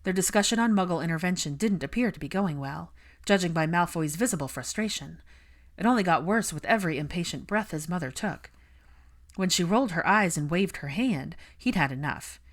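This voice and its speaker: A woman narrates fiction as an audiobook reader. Her voice sits on the low side, and the delivery is scripted storytelling. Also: expressive, a little fast.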